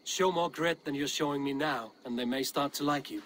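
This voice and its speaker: An actor playing a confident, blunt Viking clan warrior with a dry, challenging manner in a game cutscene. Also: male voice, on the high side, brisk, a bit bright, a little hiss or hum, natural voice. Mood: steady, frank. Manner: dry, challenging, self-assured. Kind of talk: video game cutscene acting.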